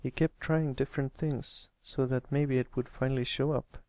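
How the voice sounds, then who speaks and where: Earnest little boy pony, played by a man, gentle and hesitant, lifted voice, storybook cartoon style. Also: medium pitch, steady tone, normal speed, dry recording, lo-fi sound, voice pushed up, lightly acted. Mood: gentle, reassuring. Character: shy, earnest young male cartoon pony, comforting a friend.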